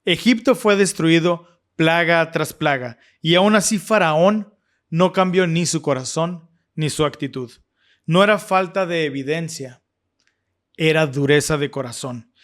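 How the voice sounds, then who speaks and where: Man, earnest and reflective, slightly raised pitch, scripture-study host. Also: measured pace, a bit bright. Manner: earnest, reflective, with frequent fillers and restarts. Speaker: podcast host.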